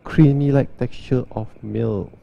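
A man, giving a steady, step-by-step walkthrough and demo of an espresso machine. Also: medium pitch, unhurried, creaky, highs cut off. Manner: steady, step-by-step instructional delivery. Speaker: barista demonstrating an espresso machine.

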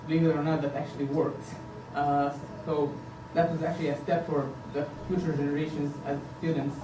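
A man's voice: a little high, little pitch movement, brisk. Earnest man speaking from a podium, echoey room. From Egypt. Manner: hesitant, many fillers, earnest. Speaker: board candidate. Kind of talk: campaign presentation. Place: meeting room indoors, at a podium. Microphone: far camera. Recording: echoey room from a distance, background hiss.

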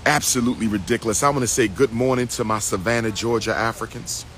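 A man's voice: lowish voice, fast, fairly bright tone, slight background noise. A middle-aged man giving an impassioned, preachy monologue into a phone held at arm's length in an outdoor park. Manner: preachy, emphatic, repetitive, rising to declamation. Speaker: lecturer addressing followers. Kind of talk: impassioned selfie video monologue.

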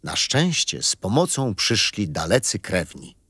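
Kindly old grandfather, deep-ish voice, animated delivery, gentle, children's radio drama. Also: measured pace, husky, dry recording, studio quality, full character voice. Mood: solemn storytelling. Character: kindly grandfather telling his grandchildren bedtime stories. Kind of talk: children's radio play, storytelling.

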